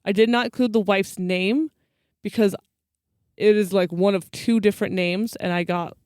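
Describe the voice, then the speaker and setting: A woman with a clear, smooth voice, recorded dry and close. She tells stories as casual chat, like a podcast co-host. Her retellings come in flippant everyday slang. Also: mid-range voice, steady tone, normal speed, a little mellow, dry recording.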